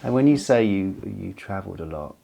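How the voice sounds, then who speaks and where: Off-screen male interviewer, very low voice, gentle probing questions. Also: expressive, fairly quick, creaky.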